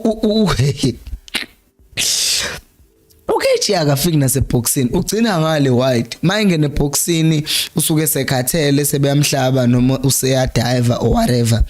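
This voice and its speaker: A South African man hosting a fan live stream, talking casually about football, laughing often, his voice going hoarse. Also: mid-range voice, a little mellow. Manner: chatty, laughs often, switches between languages mid-sentence. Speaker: fan channel host.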